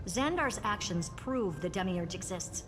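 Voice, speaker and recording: An actress voicing a sharp, sardonic woman scientist in a video game, dry and incisive, with game music playing. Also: medium pitch, expressive, measured pace, close to an everyday voice. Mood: wry. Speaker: game character. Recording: game audio with long reverb.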